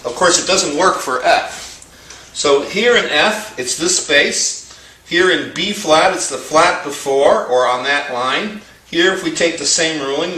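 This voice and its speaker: Man, music teacher, extremely slow pace, measured step-by-step explanations, mid-range pitch. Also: bright, forceful tone, roomy sound, low-quality recording. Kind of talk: explanatory music lesson, talking through examples.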